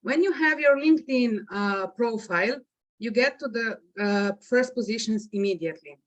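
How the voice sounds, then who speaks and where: A woman's voice: on the high side, animated, moderate pace. Slovenian woman with hesitant fillers. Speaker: guest LinkedIn coach. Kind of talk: webinar presentation. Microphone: video call. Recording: muffled video call audio.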